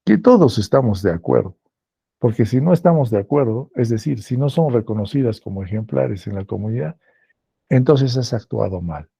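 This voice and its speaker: A man with a Peruvian accent giving a lecture as a professor, slow and deliberate, repeating phrases to stress a point. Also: mid-range voice, lively intonation, vocal fry, dark tone, husky, no echo. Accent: Peruvian Spanish. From Peru.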